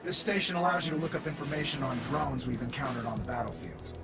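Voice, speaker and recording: A man's voice: mid-range voice, quick pace, vocal fry, loud music behind the voice, natural voice. An actor voicing a brash male AI weapons maker in a video game, casual and cocky, explaining features and calling his user boss. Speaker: onboard AI guide.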